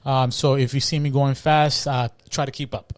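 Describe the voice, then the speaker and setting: A man's voice: mid-range voice, level tone, moderate pace. A man co-hosting a podcast in casual, unscripted banter, blunt and freely swearing. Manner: blunt, profane. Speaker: podcast co-host and sports segment presenter.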